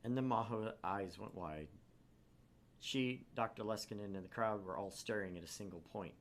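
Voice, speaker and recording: A man's voice: fairly low pitch, moderate pace, a little hiss or hum. A man hosting a gaming channel, narrating a visual novel aloud with theatrical, irreverent casual asides.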